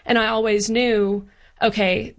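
A woman's voice: medium pitch, little pitch movement, measured pace. A woman in her early twenties speaking earnestly and casually as an interview guest, with a youthful manner. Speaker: guest author.

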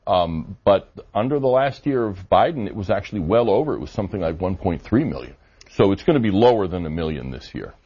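A man with a low, somewhat husky voice answers interview questions in a measured, argumentative way, often pausing on fillers. The audio sounds dull and lo-fi. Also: expressive, warm tone. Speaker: immigration policy guest.

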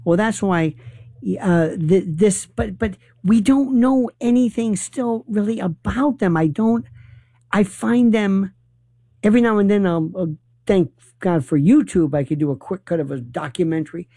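A man hosts a casual talk, his voice mellow and warm. He is animated and halting, starting sentences over and filling gaps with "uh". The sound is dry and close. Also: high-pitched, no room sound. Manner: animated, halting, many restarts and fillers. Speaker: host. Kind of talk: casual conversation.

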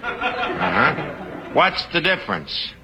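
A sarcastic wisecracking tavern manager. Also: male voice, a little high, wildly swooping pitch, slow pace, crowd noise, heavily acted. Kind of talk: 1940s radio comedy acting. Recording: scratchy, hissy old radio recording.

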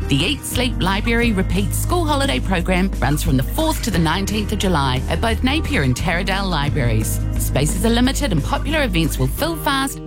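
NZ female ad read, upbeat, animated pitch, over music. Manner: upbeat, promotional. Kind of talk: scripted radio ad.

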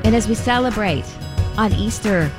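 A woman's voice: medium pitch, moderate pace. A woman delivering an evangelistic radio message in a fervent, emphatic, exhorting way. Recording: loud music under the voice.

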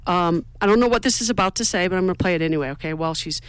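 A woman's voice: lowish voice, rapid-fire, clean tone, no echo, low-quality recording. A woman co-hosting a local radio show, chatting casually in a playful, teasing and somewhat scattered way from a studio.

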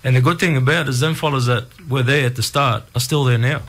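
A man's voice: mid-range voice, steady tone, fast, a bit bright. A middle-aged man with an Australian accent, talking casually and candidly in a plain, colloquial way as an interview guest. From Ipswich region, Australia. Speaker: community group founder, interview guest.

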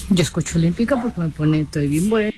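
A woman's voice: on the low side, lively intonation, fast talker, mellow. A woman leaving an enthusiastic, repetitive voice note for a radio show. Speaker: caller.

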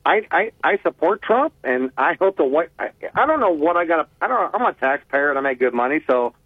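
An older man calls in over a thin, tinny phone line, talking in a blunt, rambling, folksy way. His deep voice sits a little high for a man, and the sound is dry and close. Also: sing-song, fairly quick, breathy edge, no echo. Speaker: caller. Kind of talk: casual call-in chat.

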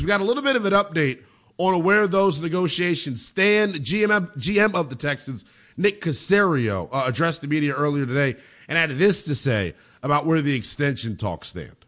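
A man's voice: high-pitched, a bit bright. A man with an American accent hosting a sports show, giving emphatic football commentary and repeating phrases for effect.

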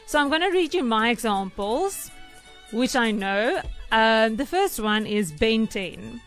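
A South African woman whose first language is Afrikaans, presenting a lively feature on breakfast radio, chatty and laughing often. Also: fairly high pitch, measured pace, soft background music. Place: radio studio. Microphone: studio microphone.

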